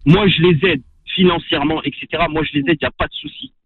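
A man's voice: fairly high pitch, fast talker, husky, no room sound. A man in his thirties from Algeria, calling in to a radio show and arguing defensively, often repeating himself. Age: 30s. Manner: defensive, repetitive, talks over the host. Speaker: caller. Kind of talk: heated call-in debate. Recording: tinny phone line.

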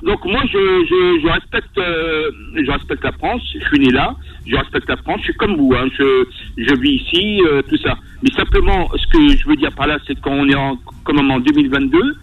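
Male radio caller, high gravelly voice, hesitant, tinny distant phone line. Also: wildly swooping pitch, normal speed. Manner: hesitant, many fillers and restarts. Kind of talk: call-in discussion.

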